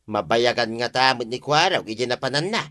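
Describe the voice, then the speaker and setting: Woman doing a nosy scheming village gossip, ilocano accent, sharp bright voice, comedy drama. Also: rumbling low, animated, fairly quick. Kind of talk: Ilocano comedy radio-style drama acting.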